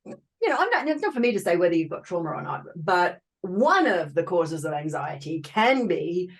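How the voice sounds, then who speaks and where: Low-voiced woman with Australian-British accent. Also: sing-song, normal speed. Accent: Australian with a British touch. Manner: earnest, explanatory, with frequent fillers and restarts. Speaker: podcast guest, trauma expert. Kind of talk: casual interview talk.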